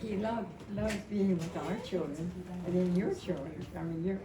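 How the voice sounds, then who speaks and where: A woman in her seventies giving an informal, rambling and emotional personal tribute at a memorial, telling stories in a halting way. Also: fairly low pitch, a crowd in the background. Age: 70s. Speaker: widow speaking at a memorial. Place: indoor family memorial gathering. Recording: echoey, distant mic, noisy gathering room.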